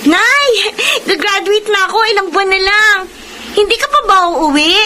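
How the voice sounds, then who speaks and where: A woman's voice: very high-pitched, animated, moderate pace, sharp tone, full character voice. A woman voicing a dramatic, affectionate young girl in a Filipino radio drama, with a whiny, pleading manner. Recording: noisy background.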